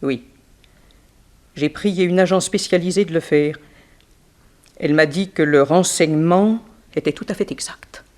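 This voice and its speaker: A woman's voice: low-pitched, measured pace, pauses often, darkish tone. A woman playing a dignified, wronged upper-class wife in a 1960s French radio drama, speaking in a formal, precise, genteel way. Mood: confiding, dignified.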